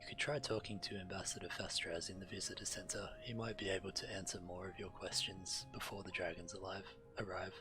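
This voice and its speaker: A man streaming a game, reading quest text aloud in a measured way, now and then stumbling and correcting himself. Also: low-pitched, flat delivery. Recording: game music behind the voice. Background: video game music.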